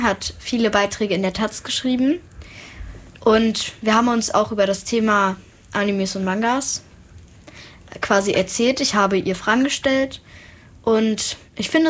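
A woman interviewer asking questions in a halting way, often searching for words. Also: mid-range voice. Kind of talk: casual interview.

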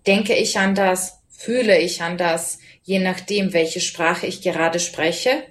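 A young woman, a student, hosting a radio show and reading her prepared introduction in a clear, even way. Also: on the low side, takes it slowly. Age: young adult. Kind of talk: scripted radio presentation.

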